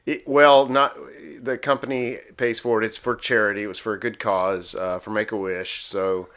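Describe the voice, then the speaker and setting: A man's voice: on the low side, little pitch movement, moderate pace, a bit bright. A man of about fifty chatting casually as a co-host, with a self-deprecating way of talking. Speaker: podcast co-host. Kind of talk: casual chat.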